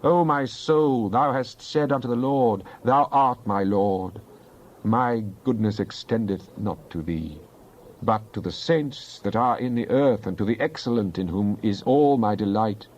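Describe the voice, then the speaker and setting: A man playing a devout psalmist in a dramatized Bible reading, praying in an earnest, deliberate, declamatory way. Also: lowish voice, darkish tone, dull audio, close to an everyday voice. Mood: devout, trusting. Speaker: scripture reader.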